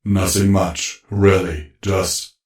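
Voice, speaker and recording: A man voicing a worried male dragon speaking through a robot in a flat, plaintive way. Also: low-pitched, slow pace. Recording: echoey, roomy sound.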